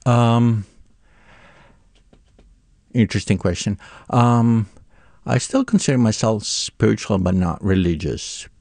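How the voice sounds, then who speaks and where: Man, Italian accent, deep, gravelly, dry, thoughtful. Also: darkish tone, no echo. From Italy. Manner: thoughtful, halting with fillers. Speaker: photographer guest. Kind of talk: radio interview.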